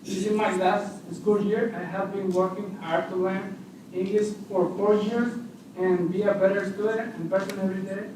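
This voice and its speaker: A nineteen-year-old man from Honduras, a student making an earnest public comment in slightly halting English. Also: high-pitched, flat delivery, a little mellow. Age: young adult. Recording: echoey hall, far from mic, noise.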